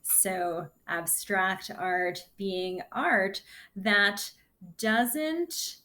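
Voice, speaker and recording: A woman teaching kindergarten children in a read-aloud lesson, explaining things simply and deliberately in short phrases. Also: mid-range voice, sharp tone.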